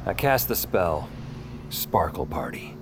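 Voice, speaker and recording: A man's voice: low-pitched, sing-song, takes it slowly, heavy background noise, exaggerated voice. An actor playing an anguished, self-deprecating hero who narrates his nightmare in short, brooding phrases in an audio drama. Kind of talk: first-person noir-like audio drama narration. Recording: loud music bed under voice.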